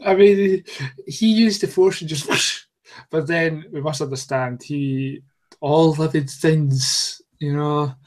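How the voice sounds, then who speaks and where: A man's voice: on the high side, takes it slowly, darkish tone. A man co-hosting a podcast, chatting casually in a joking, rambling way. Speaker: podcast co-host. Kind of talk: casual chat.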